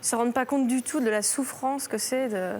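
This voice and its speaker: A woman in her thirties, a talk show guest, telling a personal story in halting sentences that restart and trail off. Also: fairly high pitch, a little mellow, a little hiss or hum. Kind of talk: emotional personal testimony. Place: television studio.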